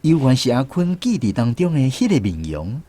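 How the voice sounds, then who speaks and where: A man's voice: mid-range voice, huge pitch swings, moderate pace, dark tone, soft background music. A man with a Taiwanese accent narrating a radio drama as a storyteller.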